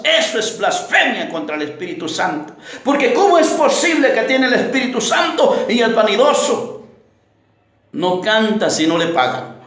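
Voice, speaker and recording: A man preaching a fiery evangelical sermon, emphatic and declamatory, with repeated phrases and rhetorical questions. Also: extremely high pitch. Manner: declamatory, often rising to shouts. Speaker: preacher, pastor. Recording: very echoey, reverberant room sound.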